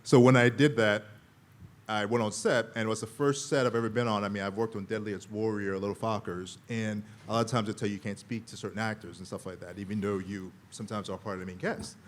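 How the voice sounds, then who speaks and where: A deep-voiced man speaking as a guest on a convention panel, rambling and quoting his own thoughts. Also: fairly even intonation, quick pace. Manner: rambling, quotes himself. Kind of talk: casual panel talk.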